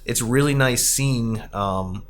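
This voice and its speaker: A man in his thirties hosts a relaxed talk about films and arcade games. He rambles in a halting way, often doubling back to correct his own sentences. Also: mid-range voice. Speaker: podcast host.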